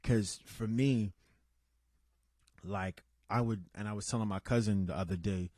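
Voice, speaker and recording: A man with a fairly low voice hosting a relaxed, unscripted podcast. He talks in a loose, meandering way, doubling back on his words and throwing in shout-outs to listeners. The sound is dry and close, with no room echo. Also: measured pace. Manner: rambling and conversational, with many restarts and asides. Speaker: podcast host. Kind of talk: casual unscripted podcast chat.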